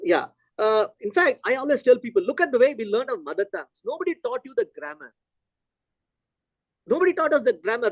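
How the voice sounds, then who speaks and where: A middle-aged man from India, a Telugu native, giving a physics lecture in an animated, conversational way and thinking aloud. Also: extremely high pitch, no room sound, poor audio.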